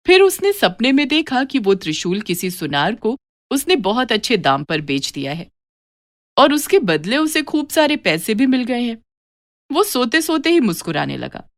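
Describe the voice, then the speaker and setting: A woman's voice: a little high, big pitch swings, moderate pace, dry recording, clean studio sound. A woman narrating a scripted moral story for children as a storyteller.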